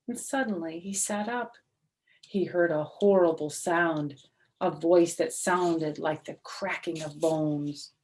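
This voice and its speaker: A woman narrating a children's story aloud in an expressive, dramatic and deliberate way. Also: fairly low pitch. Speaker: storyteller reading a picture book aloud.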